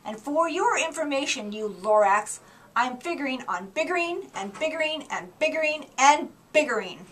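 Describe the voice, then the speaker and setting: A woman voicing a stubborn, greedy businessman who yells defiantly. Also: high voice, animated, normal speed, distant mic, pitched above the performer's own voice. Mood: angry. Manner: loud, defiant yelling.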